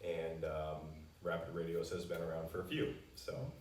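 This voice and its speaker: A man's voice: low-pitched, animated, normal speed, a little mellow, a little hiss or hum. A man giving an unscripted, confident promotional explanation, answering customer questions as a company spokesman. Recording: roomy echo, distant mic.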